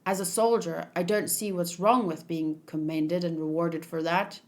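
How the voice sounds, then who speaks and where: A woman's voice: lowish voice, fairly even intonation, normal speed, pitched below the performer's own voice, lightly acted. Woman reading as a weary, cocky young soldier. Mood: oblivious, self-satisfied.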